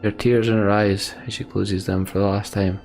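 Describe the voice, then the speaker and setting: A man with a very low voice and a crackly, gravelly edge hosts a let's play. He reads narrative text dramatically and slips into emotional, sweary asides. Music plays softly behind him. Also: level tone, a little fast. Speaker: let's play host reading game text aloud.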